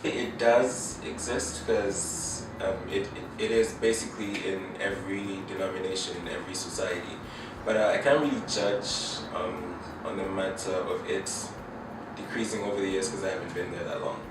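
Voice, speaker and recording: A young man from Uganda gives measured, hesitant interview answers in a very deep voice. The sound is roomy and distant, with noise underneath. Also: fairly even intonation. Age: young adult. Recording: echoey room, heard from afar with noise.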